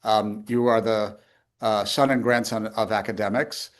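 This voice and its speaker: A man interviewing a guest, asking guiding questions in a measured way. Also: on the low side, steady tone. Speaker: interviewer, history professor. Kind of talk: conversational interview.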